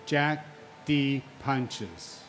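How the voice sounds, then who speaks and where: A man's voice: mid-range voice, expressive, fairly bright tone, slight background noise. A man reads names at a memorial ceremony and speaks solemnly and firmly as a victim's brother. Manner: solemn and measured, then firm and insistent. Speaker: victim's family member reading names. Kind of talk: memorial ceremony name reading and speech.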